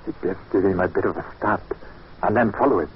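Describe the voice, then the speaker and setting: A man's voice: low voice, quick pace, close to an everyday voice. A man acting a grave, foreboding servant in an old time radio drama, deferential but cryptic. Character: grave, foreboding Scottish male servant McJobson. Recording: dull, noisy old radio recording.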